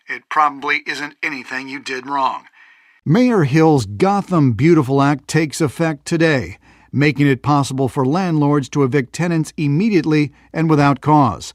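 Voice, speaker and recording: Glib, higher-voiced news presenter. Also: male voice, pushed and bright, dry recording. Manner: polished, wry, emphatic. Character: glib male TV news presenter, wry and polished. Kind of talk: broadcast news reading, video game acting.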